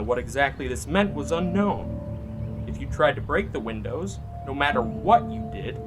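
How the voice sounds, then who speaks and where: A man's voice: mid-range voice, lightly acted. A man playing the showy host of an urban legend show in a horror audio drama. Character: male urban legend show host broadcasting from a haunted cemetery.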